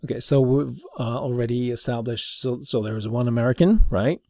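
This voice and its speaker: A man giving an informal, halting explanation as a teacher demonstrating something to a class. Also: medium pitch, background noise.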